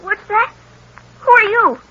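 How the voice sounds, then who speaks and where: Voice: very high-pitched, expressive, takes it slowly, heavily acted. An actor voicing a frightened, tearful little boy in a timid whimper, in old radio drama style. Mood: scared, tearful. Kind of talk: 1930s radio drama acting. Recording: muffled old radio recording.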